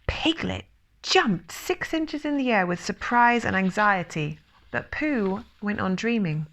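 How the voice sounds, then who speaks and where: A woman reads a children's story aloud at a slow pace. Her mid-pitched voice has plenty of vocal fry, and her storytelling is measured and expressive. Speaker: audiobook reader.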